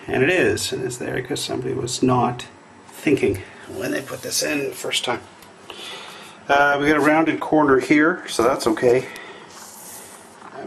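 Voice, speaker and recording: A man's voice: mid-range voice, a little hiss or hum, rough recording. Chatty, rambling Canadian handyman at home. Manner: rambling, chatty, thinks aloud with fillers. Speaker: DIY maker vlogger. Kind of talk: casual how-to narration. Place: home kitchen.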